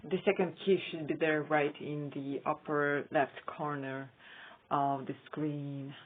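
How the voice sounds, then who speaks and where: Slow, halting woman on tinny audio. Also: deep voice, level tone. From Ukraine. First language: not English. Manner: hesitant, halting with frequent pauses. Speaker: vlogger. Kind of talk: casual screen-recording commentary.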